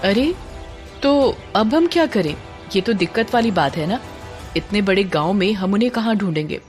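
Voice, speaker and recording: A woman's voice: mid-range voice, animated, moderate pace, darkish tone, faint music behind, natural voice. A woman voicing a courteous, eager young man with questions in an audio drama. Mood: worried. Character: polite, eager young village man on a search.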